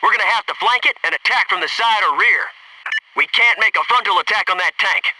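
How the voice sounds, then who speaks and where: A man's voice: high voice, a little fast, far from the mic, background noise, lightly acted. A man playing a cocky, wisecracking Navy SEAL squadmate in a military shooter game, with wry, slangy, gung-ho delivery. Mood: alert, focused.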